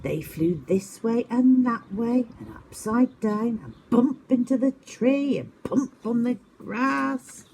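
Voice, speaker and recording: A woman's voice: fairly high pitch, slow, vocal fry, hardly any pauses, mellow, slight background noise. A woman narrating a children's story expressively, as a teacher does at story time.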